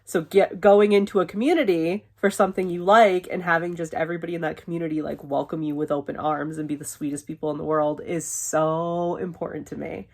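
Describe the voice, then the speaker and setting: A woman's voice: deep voice, fairly even intonation, brisk, hardly any pauses. A young woman vlogging casually from her bedroom, rambling with lots of fillers and swearing, sniffly and losing her voice. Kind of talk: casual chat to camera. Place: bedroom, window open.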